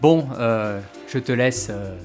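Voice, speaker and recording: A man's voice: mid-range voice, slow pace, a little mellow, soft background music, lightly acted. A man playing a sceptical, dry, hesitant character in an audio comedy drama. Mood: resigned, casual. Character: sceptical, hesitant, wry man humouring his friends.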